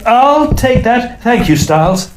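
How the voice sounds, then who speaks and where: An actor playing a modest, polite young Victorian Oxford student with a British accent, narrating an audio play a little hesitantly. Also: male voice, very high-pitched, sing-song, slow, background noise, exaggerated voice. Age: young adult. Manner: hesitant, polite, chatty old-fashioned phrasing. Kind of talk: dramatised first-person audio play narration.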